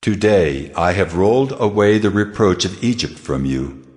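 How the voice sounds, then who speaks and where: A man's voice: low-pitched, expressive, moderate pace, darkish tone. An actor playing God in an audio Bible drama, majestic and authoritative as he gives solemn orders. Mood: solemn command. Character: God, solemn almighty male divine being giving orders. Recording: long hall reverb, music behind.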